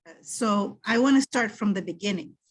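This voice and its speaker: Middle-aged woman from Brazil, husky, thoughtful guest who restarts her sentences. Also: medium pitch, moderate pace. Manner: thoughtful, with frequent restarts and fillers. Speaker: guest, French teacher and PhD candidate. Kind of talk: casual interview chat.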